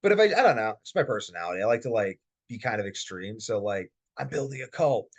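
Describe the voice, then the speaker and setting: A man's voice: on the low side, quick pace. A man hosting a casual webinar, talking in a rambling way with lots of fillers. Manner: rambling, filler-heavy, animated. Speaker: webinar host, insomnia coach. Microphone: computer mic on video call.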